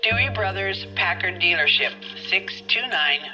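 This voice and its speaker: Very bright police operator. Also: female voice, on the low side, animated, normal speed, faint music behind, rough recording. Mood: helpful, efficient. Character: efficient, helpful female police telephone operator. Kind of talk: video game voice acting.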